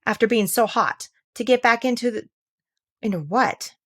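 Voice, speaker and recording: A woman's voice: fairly high pitch, moderate pace, pitched above the performer's own voice, lightly acted. A woman voicing a timid, thoughtful little girl from a children's storybook, hesitant and musing aloud to herself. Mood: puzzled. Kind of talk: children's storybook character reading.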